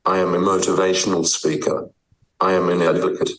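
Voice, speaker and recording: An eighteen-year-old man speaking through text-to-speech software with an Australian accent, in a measured, proclaiming synthetic delivery. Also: low voice, little pitch movement, roomy sound, distant mic, rough recording. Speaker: guest, advocate. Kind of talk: typed text read aloud by speech software.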